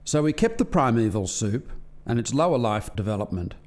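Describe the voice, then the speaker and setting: A man's voice: medium pitch, big pitch swings, normal speed, a little mellow, natural voice. A man playing an earnest alien scientist who explains experiments to colleagues in formal, articulate language in a radio play. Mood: earnest, storytelling.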